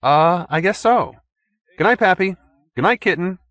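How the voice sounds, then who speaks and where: A man's voice: high-pitched, expressive, normal speed, fairly bright tone, no room sound, voice pushed up. A man voicing a brash, cynical newspaperman who talks in slangy, indignant wisecracks in an audiobook story. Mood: befuddled.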